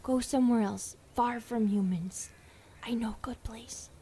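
A woman voicing a shy, affectionate, feral skinwalker girlfriend in an ASMR roleplay, soft and often whispering in halting broken English. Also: a little high, a little hiss or hum. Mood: apologetic, eager.

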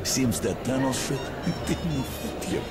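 Hearty, boastful hard-drinking male fighter, animated game dialogue over music. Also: mid-range voice, takes it slowly. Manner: hearty toasting, coarse. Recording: music under the voice.

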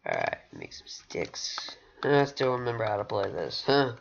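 A teenage boy with a recently deepened voice, rambling and chatty as he gives casual commentary over his own gameplay. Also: huge pitch swings, normal speed, vocal fry, dull audio, slight background noise. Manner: rambling, chatty, laughs often. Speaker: gaming channel host.

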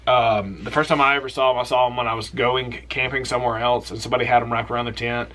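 A young man hosting an outdoors channel, recommending camping gear in a relaxed, rambling, enthusiastic way from a small room. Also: mid-range voice, fast talker. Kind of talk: casual product recommendation vlog.

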